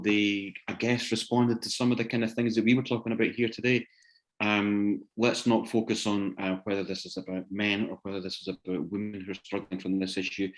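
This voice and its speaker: A man hosting an online seminar informally, hesitant and often repeating words as he searches for his phrasing. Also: lowish voice. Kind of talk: informal spoken closing remarks to attendees. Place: online video session.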